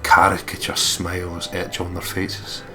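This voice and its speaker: A man with a low voice works as a let's play narrator. He reads game narration in a measured, dramatic manner, with music clearly audible behind him. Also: completely flat delivery. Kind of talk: reading game narration aloud, with casual asides.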